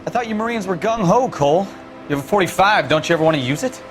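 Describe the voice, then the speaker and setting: Fast, higher-voiced, goading cop. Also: male voice, lively intonation, soft background music. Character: gung-ho, needling male cop eager for action. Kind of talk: cinematic video game acting.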